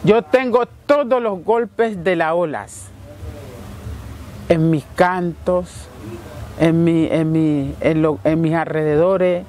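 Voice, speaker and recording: A man, explaining marimba making slowly and repetitively in a documentary interview. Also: on the high side, a little mellow, soft background music. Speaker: marimba maker.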